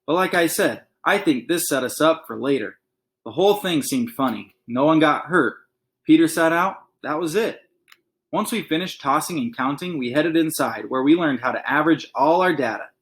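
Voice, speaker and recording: Expressive man reading a children's book aloud. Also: a little high. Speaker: read-aloud reader. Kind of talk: storybook read-aloud with casual asides.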